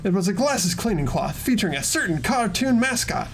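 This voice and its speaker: A performer in a fan-voiced game playthrough, playing an earnest teenage student detective who lays out evidence deliberately. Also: medium pitch, very animated. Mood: earnest, insistent. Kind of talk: scripted game dialogue read aloud by fans. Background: background music.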